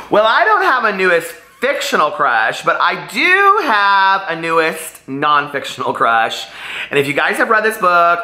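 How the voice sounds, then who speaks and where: A man with a bright, forward voice speaks casually and directly to his viewers. He gushes and rambles, leaning hard on words for emphasis. The recording is roomy, with audible echo around the voice. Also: a little high. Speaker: book reviewer vlogger. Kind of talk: casual chatty book review to camera. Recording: roomy, echoey room sound.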